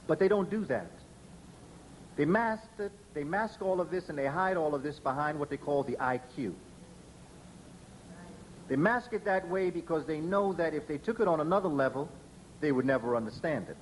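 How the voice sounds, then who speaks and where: A man giving a public lecture in a measured, emphatic way, often spelling out letters slowly for emphasis. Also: on the high side, slight background noise, low-quality recording.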